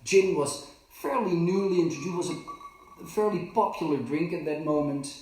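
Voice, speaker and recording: Halting bartender in an echoey kitchen. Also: male voice, fairly high pitch, darkish tone, far from the mic, slight background noise. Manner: halting, many fillers and restarts. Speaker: bartender and cocktail trainer. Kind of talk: casual live demonstration. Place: home kitchen. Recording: very echoey, from off the mic.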